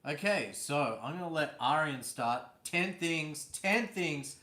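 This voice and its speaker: A man's voice: fairly high pitch, lively intonation, slow, sharp tone, echoey room. A man hosting a casual live stream from home, chatty and teasing, laughing easily. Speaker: vlog host. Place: indoors at home.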